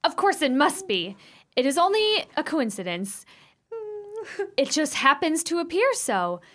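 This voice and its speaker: A woman's voice: high voice, big pitch swings, a little slow, a bit bright, full character voice. A woman acting an anxious, easily alarmed sister in a radio play, full of urgent questions. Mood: frightened reasoning.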